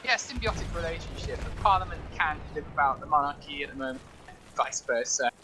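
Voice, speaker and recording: A man's voice: fairly high pitch, animated, quick pace, bright, forceful tone, a little hiss or hum. A man talking casually and explaining things as a guest commentator over gameplay.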